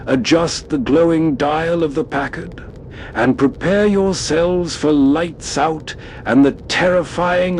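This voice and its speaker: A male host reads spooky scripted narration in a dramatic, ominous way. His voice sits a little higher than usual for a man, over a faint rumble. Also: a little mellow.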